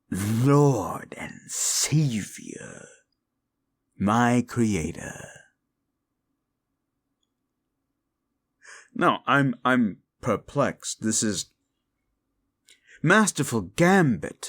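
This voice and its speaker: A deep, gravelly demon, played by a man, drawls his words very slowly. His pitch swoops from hushed growls to sharp, high scoffs as he mocks and curses at the listener. It is a sneering audio-roleplay fiend who takes nothing seriously. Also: dry recording, exaggerated voice. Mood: incredulous mocking. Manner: mocking, profane, taunting. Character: scornful, sneering adult male demon.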